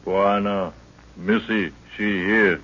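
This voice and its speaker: A man playing a huge African prince and loyal guard in an old radio serial, speaking terse broken English deliberately. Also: fairly low pitch, fairly even intonation, fairly bright tone, slight background noise. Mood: solemn, terse. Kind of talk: 1930s-40s radio serial acting. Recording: muffled old radio recording.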